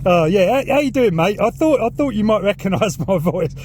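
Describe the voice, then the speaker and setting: A man's voice: high-pitched, lively intonation, brisk, darkish tone, slight background noise. A man with a southern English accent chatting casually and enthusiastically in a matey, informal way, as a hobbyist vlogger out by his car. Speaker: YouTube vlogger and radio hobbyist. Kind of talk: casual chat while demonstrating and talking on CB radio. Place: in and around a parked car outdoors.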